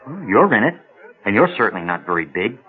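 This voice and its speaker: An actor playing a polite, hesitant, earnest young small-town coach in a radio drama. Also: male voice, fairly low pitch, big pitch swings, quick pace, breathy edge, narrow, tinny audio. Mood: earnest, friendly. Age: young adult.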